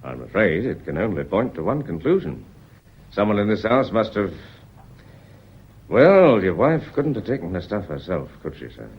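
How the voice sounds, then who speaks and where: An actor playing a shrewd police inspector in a radio drama, speaking deliberately and courteously while he probes. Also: lowish voice, sing-song, breathy edge, full character voice. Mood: firm, insistent. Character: shrewd, politely relentless male police inspector. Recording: dull, muffled old radio recording.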